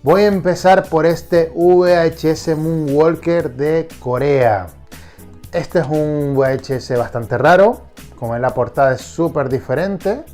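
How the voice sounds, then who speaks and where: A man's voice: a little high, takes it slowly, a little mellow, soft background music. A man hosting a casual collector vlog, nasal and congested from a cold, enthusiastic and often restarting his sentences. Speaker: collector vlogger.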